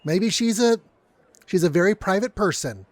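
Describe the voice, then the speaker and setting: A good-natured, meek young coffee server in an audio drama, acted by a man. His voice is a little high for a man. He speaks mildly and hesitantly, quick to give way. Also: animated, no room sound. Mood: casual. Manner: hesitant, mild, apologetic.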